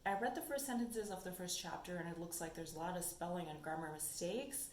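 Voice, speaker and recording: A woman's voice: lowish voice, brisk. A young woman whose first language is German, chatting casually about books in a haul video and thinking aloud as she goes. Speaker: book vlogger. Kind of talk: casual book haul chat. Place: sunny room indoors. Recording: echoey room with faint noise.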